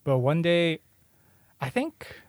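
A man's voice: fairly high pitch, sing-song, slow, no echo. A man hosting a podcast, telling stories in an informal, casual way and swearing freely. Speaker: podcast host. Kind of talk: casual banter and storytelling. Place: small room. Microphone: close podcast microphone.

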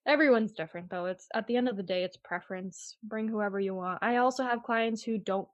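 A woman's voice: medium pitch, fairly quick, dry recording. A woman in her twenties, a real estate agent, answering interview questions in a blunt, casual way and acting out quotes as she goes. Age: 20s. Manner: blunt, animated retelling with quoted asides and frequent "like".

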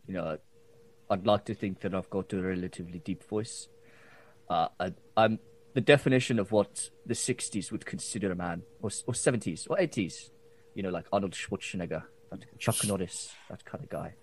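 Man putting on a burly macho miner. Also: normal speed. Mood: boastful. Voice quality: put-on deep voice, wavering accent. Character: burly macho male orphan miner, martial-arts fan.

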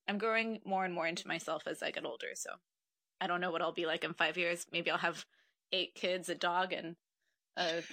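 Woman guest, twenties, from Mexico; low-ish, bright and dry, reflective, earnest. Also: no echo. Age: 20s. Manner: reflective, earnest, with frequent ums. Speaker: podcast guest. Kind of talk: casual interview conversation.

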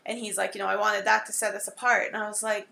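Bright, gravelly-voiced Canadian woman. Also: medium pitch, little pitch movement, quick pace. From Saskatchewan, Canada. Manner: upbeat, agreeable, frequent affirmations. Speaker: podcast co-host. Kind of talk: casual chat.

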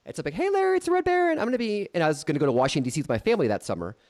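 A man's voice: a little high, sing-song, rapid-fire, darkish tone. A man in his thirties hosting a podcast, teasing his guest and telling stories in a joking way. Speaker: podcast host. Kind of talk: casual podcast chat.

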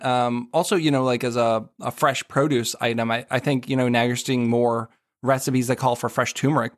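Guy hosting a podcast, relaxed and chatty, dry close sound. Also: mid-range voice, no echo. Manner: easygoing, chatty. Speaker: podcast host. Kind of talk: casual chat.

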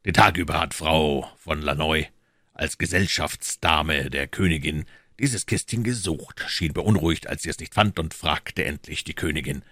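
An assured, deferential gentleman informant in an audiobook, voiced by a male narrator. His voice is very low. He reports in a measured, precise way, with a close, dry sound. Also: no echo, full character voice. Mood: measured, reporting. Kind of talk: audiobook character dialogue.

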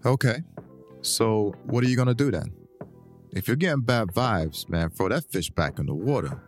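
A blunt, ribbing buddy in an audio drama, acted by a man, talks in loose slang with very lively pitch swings. He teases and dishes out advice like an old friend, with music clearly playing behind him. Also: moderate pace, close to an everyday voice. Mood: blunt, advising. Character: brash, teasing, advice-giving buddy playing pool. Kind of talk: casual conversational audio drama acting.

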